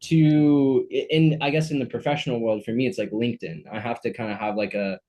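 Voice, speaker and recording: A man's voice: medium pitch, steady tone, a little fast, warm tone. A young man co-hosting a podcast, asking questions casually and often restarting his phrases. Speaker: podcast co-host.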